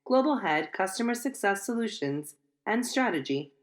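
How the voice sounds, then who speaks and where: Female announcer, formal scripted intro, lively pitch. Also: moderate pace. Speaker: event announcer.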